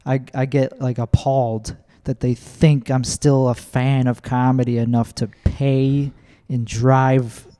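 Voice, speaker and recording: A man with a mid-pitched, warm, mellow tone talks as a podcast guest. His delivery is blunt, candid and offhand. The voice comes through smooth and resonant. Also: little pitch movement, slow pace. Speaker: stand-up comedian guest. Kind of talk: casual conversational interview.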